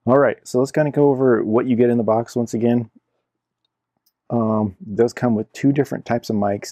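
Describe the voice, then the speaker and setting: A man's voice: fairly low pitch, vocal fry, dark tone, dry recording, studio quality. A man giving a casual hands-on product review in an informal, explanatory way, repeating himself as he goes. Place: indoor room at a cluttered computer desk.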